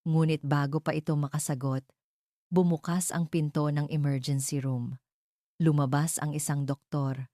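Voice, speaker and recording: A woman's voice: low voice, level tone, moderate pace, mellow, no echo, studio quality. A woman narrating a scripted romantic drama in a storytelling style.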